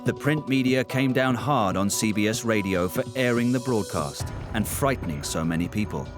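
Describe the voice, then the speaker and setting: A man's voice: lowish voice, expressive, moderate pace, over music. A man reading scripted documentary narration.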